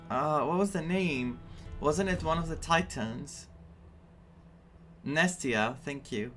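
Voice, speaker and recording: Guy streaming a game, bright forward voice, thinks out loud, bit halting, quiet music behind. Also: mid-range voice. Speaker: gaming streamer. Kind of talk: casual playthrough commentary.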